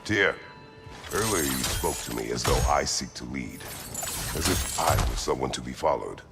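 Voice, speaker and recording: An actor playing a grave, remorseful, battle-worn warrior god in a video game, speaking solemnly and formally. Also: male voice, rumbling low, animated, normal speed, creaky, exaggerated voice. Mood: earnest. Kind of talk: dramatic video game acting. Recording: loud music behind the voice.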